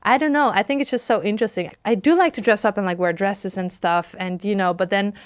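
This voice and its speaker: A woman guest on a podcast, talking in a relaxed way full of "like" and "you know", often trailing off softly. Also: medium pitch, a little fast, clear, resonant tone, dry recording. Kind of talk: casual interview chat.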